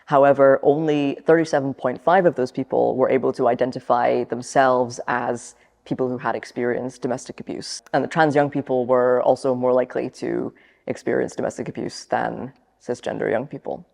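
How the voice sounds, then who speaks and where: A woman's voice: extremely low pitch, fairly even intonation, moderate pace, vocal fry, darkish tone, in a large hall. A young woman explaining prepared material for a training film in a semi-scripted, hesitant way. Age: young adult. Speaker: youth presenter in a training film.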